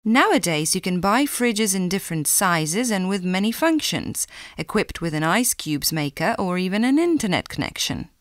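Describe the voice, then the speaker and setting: A woman giving a clear, measured scripted reading for a language listening exam. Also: mid-range voice, very animated, no echo, clean studio sound. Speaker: exam recording reader.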